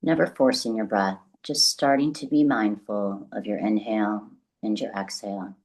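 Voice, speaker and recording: A woman leading a guided meditation as the guide, speaking gently and deliberately in a soothing, instructional way with long pauses. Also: very deep voice, fairly even intonation, rough recording.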